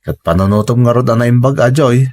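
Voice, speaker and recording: A man with a Filipino accent playing a calm, caring, supportive friend in a radio drama. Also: mid-range voice, quick pace, close to an everyday voice. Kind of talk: Ilocano radio drama acting.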